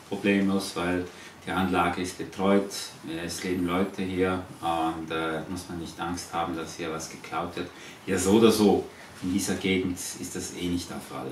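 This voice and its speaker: A man from Switzerland with a Swiss accent, answering informal interview questions in a halting way, searching for words. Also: deep voice. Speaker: interviewee, eco-farm owner. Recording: echoey, distant, noisy ambient recording.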